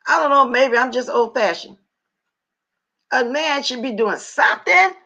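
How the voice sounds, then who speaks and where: A gravelly, raspy middle-aged woman chats to her livestream viewers in a blunt, opinionated way. Her voice has a lot of fry. The audio is dull and lo-fi. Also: high-pitched, sharp tone. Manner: blunt, opinionated, talks straight to her chat. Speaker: livestream host. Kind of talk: casual livestream chat.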